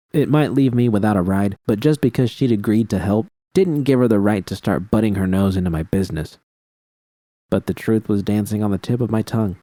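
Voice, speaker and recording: A man's voice: fairly low pitch, mellow, no echo. A man narrating scripted first-person fiction as an audiobook reader.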